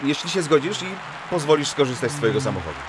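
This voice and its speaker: A man's voice: mid-range voice, very animated, fast talker, noisy background, close to an everyday voice. An actor playing a pleading, easily discouraged father and son-in-law in a children's audio drama. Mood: pleading, coaxing.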